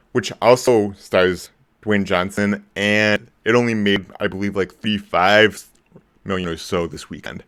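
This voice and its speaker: A man in his forties hosting a casual live stream about movies, talking in a rambling way with lots of ums and restarts, his voice a bit lower than usual. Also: a bit bright, smooth. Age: 40s. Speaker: movie channel host.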